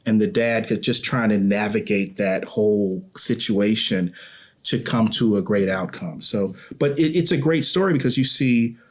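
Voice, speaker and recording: Guy with a fairly deep voice, thoughtful interview guest, earnest, circles back on his phrases. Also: moderate pace. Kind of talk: reflective interview conversation.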